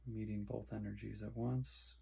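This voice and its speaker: A man guiding a meditation in short, soothing phrases with long pauses between them. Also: on the low side, little pitch movement, creaky, warm tone, breathy edge. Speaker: meditation guide. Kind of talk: guided meditation instruction.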